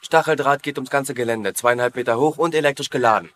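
Comedy dub: actor as naive, easygoing soldier, medium pitch, dry delivery. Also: male voice, quick pace, a bit bright, natural voice. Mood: matter-of-fact. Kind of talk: dubbed sitcom acting.

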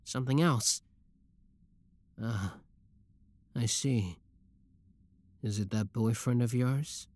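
A man's voice: mid-range voice, takes it slowly, long pauses, darkish tone, no room sound, natural voice. A man playing an obsessive, lovestruck young schoolboy villain in a roleplay audio drama, talking intimately to the listener. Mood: shy, hesitant. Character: shy schoolboy turned smug, obsessive yandere young villain.

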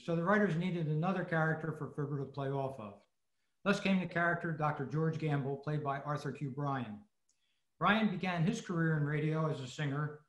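A man presenting scripted narration to a club over a video call. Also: fairly high pitch. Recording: roomy, dull video-call audio.

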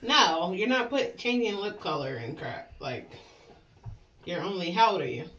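A woman's voice: fairly low pitch, expressive, measured pace, a bit bright. A woman in her thirties, a mother chatting casually at home in a blunt, teasing way. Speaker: mother and family vlogger styling her daughter's hair. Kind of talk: casual chat. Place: small room at home, indoors. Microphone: camera or phone a short distance away. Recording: roomy, muffled, distant, faint noise.

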